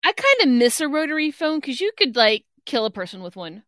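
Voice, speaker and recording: A woman co-hosting a podcast with a young-sounding voice, blunt and animated in casual chat, swearing freely. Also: high voice, fairly quick, no room sound, clean studio sound. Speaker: podcast co-host.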